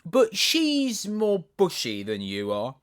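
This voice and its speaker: A storyteller voicing a proud, bossy tiger lily flower in a loud, high, drawn-out scolding voice. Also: wildly swooping pitch, smooth. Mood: haughty.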